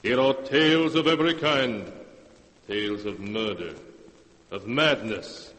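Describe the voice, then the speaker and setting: An actor playing an ominous narrator in a 1940s radio drama, speaking slowly and sonorously. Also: male voice, mid-range voice, a bit bright, close to an everyday voice. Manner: slow, sonorous, drawn-out delivery. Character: ominous male narrator of dark tales. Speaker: narrator, keeper of the book. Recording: old radio broadcast, faint hiss, long reverb.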